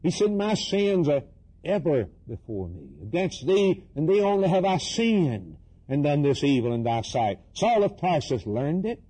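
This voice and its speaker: A man's voice: on the high side, big pitch swings, measured pace, mellow, husky, poor audio. A man preaching a fervent Bible sermon, emphatic and repetitive, using rhetorical questions, short declarative bursts and dramatic delivery of scripture. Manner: dramatically voices quoted scripture and dialogue.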